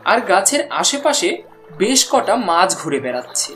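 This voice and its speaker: A woman's voice: mid-range voice, very animated, normal speed, fairly bright tone, soft background music. A woman giving scripted narration for a cartoon story.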